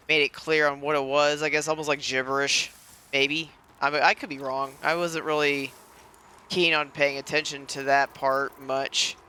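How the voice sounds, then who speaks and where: A man's voice: fairly high pitch, sharp tone, clean tone. A man giving casual, rambling, self-deprecating commentary over his gaming playthrough. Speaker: gaming video creator.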